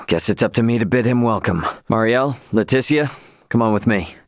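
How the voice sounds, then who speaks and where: A man's voice: on the low side, normal speed, no echo, clean studio sound, natural voice. An actor playing a brash young male starship captain in a video game, with a casual, plainspoken delivery. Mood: resigned.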